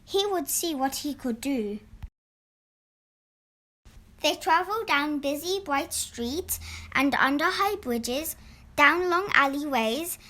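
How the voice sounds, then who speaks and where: A woman narrating a children's storybook in an expressive, deliberate read-aloud style. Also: high voice. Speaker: storybook reader.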